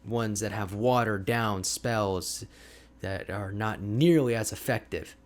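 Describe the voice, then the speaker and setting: A man playing an energetic, boastful, chatty ice mage hermit in an ASMR roleplay, with a playful, expressive, conversational delivery. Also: fairly low pitch, slow pace. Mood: chatty, opinionated. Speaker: audio roleplay voice actor.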